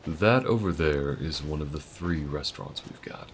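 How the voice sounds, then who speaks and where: Man voicing a gravelly deep-voiced alien guide. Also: moderate pace, a little hiss or hum. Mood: easygoing. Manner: folksy, laid-back, casual fillers. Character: easygoing male alien townsman, friendly guide. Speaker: listener's guide around town. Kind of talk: audio roleplay acting.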